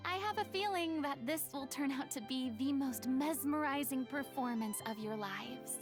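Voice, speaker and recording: A woman's voice: sits high, moderate pace, lightly acted. A woman voicing a confident, theatrical young female star performer in a video game, with a dramatic, teasing delivery. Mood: confident, grand. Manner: dramatic, self-assured, teasing. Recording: music under the voice.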